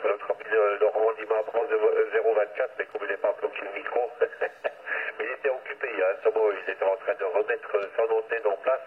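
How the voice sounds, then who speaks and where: A man's voice: lowish voice, steady tone, fairly quick. A man in casual CB chat as an operator, heard over a two-way radio. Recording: tinny radio transmission with clear static.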